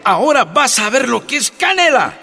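An actor playing a brutal, bullying Bolivian military interrogator in a radio drama, menacing and sneering. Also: male voice, extremely high pitch, sing-song, normal speed, vocal fry, bright, forceful tone, faint music behind, heavily acted.

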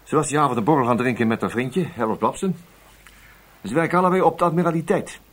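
An actor playing a polite but insistent intelligence investigator in a radio play, probing with hesitant murmurs. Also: male voice, medium pitch, animated, quick pace, natural voice. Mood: wry, testy. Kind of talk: radio drama acting.

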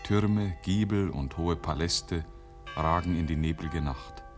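An actor narrating a 1950s radio play as an earnest, literary storyteller. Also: male voice, low-pitched, slow, over music. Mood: solemn, descriptive. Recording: hissy, noisy 1950s radio recording.

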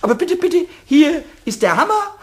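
Ein Schauspieler spielt in einem Hörspiel einen frechen, aufbrausenden kleinen Kobold mit quietschiger Kinderstimme, der aufgeregt stammelt, bettelt und trotzt. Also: male voice, normal speed, background noise. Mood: eager, pleading. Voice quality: squeaky, childlike. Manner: excitable, stammering repetitions, pleading and defiant. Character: cheeky, hot-tempered little male kobold, childlike and whiny. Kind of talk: radio play acting.

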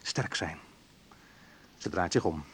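A man's voice: deep voice, lively intonation, takes it slowly, fairly bright tone, slightly rough, full character voice. An actor playing a wry, sensitive young man who recalls his student love life in an intimate first-person radio play monologue. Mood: pained, subdued.

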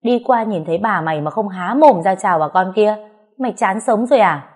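A woman's voice: mid-range voice, lively intonation, brisk, close to an everyday voice. Lady narrator doing a big thuggish woman bully in a story, barking threats and taunts, foul mouthed. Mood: menacing, taunting.

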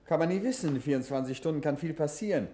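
An actor playing a calm, wry male detective who keeps his plans to himself, with dry, teasing, understated delivery in a 1950s radio play. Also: on the high side, quick pace, natural voice. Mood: probing. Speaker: amateur detective.

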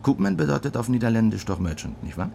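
A man's voice: mid-range voice, very animated, quick pace, creaky, warm tone, a little hiss or hum. An actor playing an earnest, stubborn man with plain, persistent speech in a naturalistic radio drama. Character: earnest, stubborn, low-voiced man pleading for a loan.

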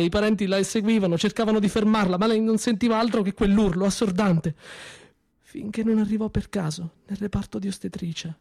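A man performing a theatrical narrative monologue with expressive, deliberate phrasing and pauses. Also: sits high. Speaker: storyteller-actor.

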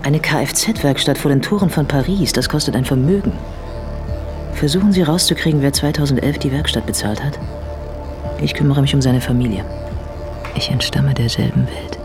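An actress playing a determined, controlled policewoman in a radio drama, speaking in a hushed, matter-of-fact way. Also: extremely low pitch, normal speed, over music. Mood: businesslike, then guarded, quiet. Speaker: police investigator.

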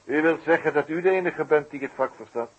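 Musing detective inspector, muffled old recording. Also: male voice, on the high side, fast, breathy edge. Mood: probing, courteous. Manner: musing, hesitant, repeats his words. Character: shrewd, amused male detective inspector. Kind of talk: 1960s Dutch radio drama acting.

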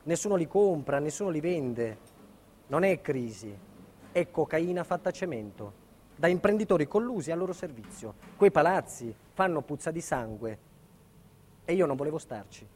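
A man's voice: on the high side, animated, normal speed, darkish tone, a little hiss or hum, natural voice. A man playing a defiant, indignant building contractor, delivering an emphatic theatrical monologue about his ordeal. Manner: emphatic, dramatic storytelling. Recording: reverberant hall with long echo.

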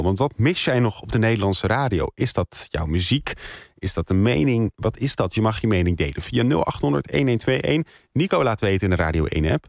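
Gravelly, low-voiced Dutch radio host, male. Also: no room sound, studio quality. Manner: chatty, reads out listener messages. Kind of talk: live phone-in radio presenting. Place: radio studio.

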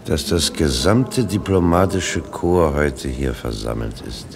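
A man's voice: extremely low pitch, expressive, slow, gravelly, heavily acted. A man playing a timid, placating bystander in a German radio play, speaking with cautious hesitation. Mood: dutifully reporting. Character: timid, placating bystander relaying a public speech. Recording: noisy radio play, ambience behind.

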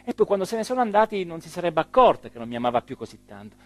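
An actor playing a rueful, self-important small-town mayor in a rambling, hesitant theatrical monologue. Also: male voice, on the high side, sing-song, fairly bright tone, dry recording, faint music behind. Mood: reflective, defiant. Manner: rambling, hesitant, emphatic, with repeated phrases and pauses. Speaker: stage actor performing a radio theatre monologue.

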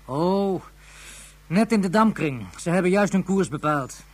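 A space operations officer for radio drama. He sounds brisk and questioning, with a slightly rough, piqued voice. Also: high-pitched, lively intonation. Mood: puzzled, brisk. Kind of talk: Dutch radio drama acting.

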